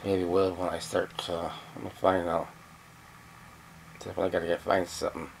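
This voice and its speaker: A middle-aged man giving a casual, halting, folksy hands-on demonstration, thinking aloud at a workbench. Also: deep voice, little pitch movement, gravelly, rough recording. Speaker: radio restorer demonstrating a repair. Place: cluttered indoor electronics workbench. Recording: loud background noise and radio hum.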